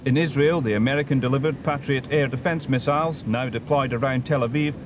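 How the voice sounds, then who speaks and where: A man's voice: mid-range voice, a little fast, slight background noise. A man with a British accent voicing a scripted news report.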